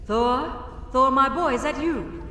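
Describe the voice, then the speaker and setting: A woman's voice: high-pitched, slow pace, sharp tone, slight background noise, heavily acted. A woman playing a concerned, mildly scolding goddess queen, questioning like an anxious mother in an audio drama. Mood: worried. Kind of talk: comic-book audio drama dialogue. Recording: distant echoing voice in long reverb. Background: music.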